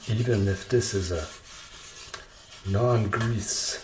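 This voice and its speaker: A man's voice: lowish voice, slow pace, a little hiss or hum. A man casually narrating ASMR cooking, chatting playfully to himself as he works. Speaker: host of an ASMR cooking video. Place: indoors in a kitchen. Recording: roomy echo, loud kitchen clatter and clicks. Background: clicks, dishes, cutlery and pans.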